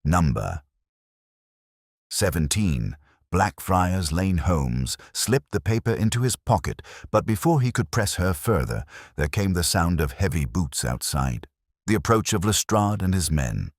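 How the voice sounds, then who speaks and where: A man with an extremely low voice narrates a mystery tale in a measured, theatrical way. His pitch moves expressively, and the sound is close and dry. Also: sing-song, no room sound. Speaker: audiobook narrator. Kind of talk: scripted dramatic detective-story narration.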